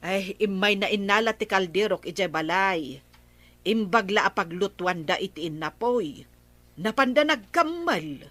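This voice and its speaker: A woman's voice: medium pitch, animated, a little slow, a bit bright. A woman playing a chatty, frank village wife in a comedy radio drama, speaking with an Ilocano accent. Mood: neighborly.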